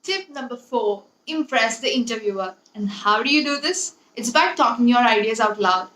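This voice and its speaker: A woman's voice: fairly high pitch, animated, normal speed, fairly bright tone. A young woman giving tips in a casual, chatty and playful vlog style, with emphatic delivery. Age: young adult. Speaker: YouTube host giving interview tips. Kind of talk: casual scripted advice vlog. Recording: echoey room with background noise.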